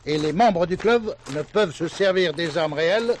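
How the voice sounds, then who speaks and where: A man's voice: a little high, moderate pace, a little hiss or hum, lightly acted. A man playing a polite, unruffled sheriff of a shooting club in a 1970s French drama. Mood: polite, defensive.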